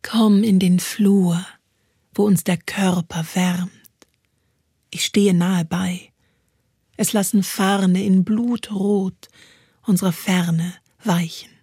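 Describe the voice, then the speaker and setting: A woman performing a literary spoken-word piece in a measured, poetic delivery. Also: medium pitch, fairly even intonation, dark tone, breathy edge, no echo, studio quality. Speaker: poetry performer. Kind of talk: literary spoken-word reading over music.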